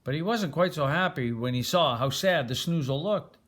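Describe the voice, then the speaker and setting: Older male, grandfatherly storybook reader, fairly high voice, expressive delivery. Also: moderate pace. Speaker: grandfather reading a picture book aloud. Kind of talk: storybook read-aloud.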